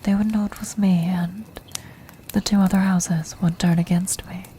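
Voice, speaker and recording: A woman voicing an ancient, commanding vampire countess in a soft, breathy, formal whisper for an ASMR fantasy roleplay. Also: on the low side, steady tone, a little hiss or hum, natural voice. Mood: calculating, authoritative. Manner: slow, formal, measured, regal.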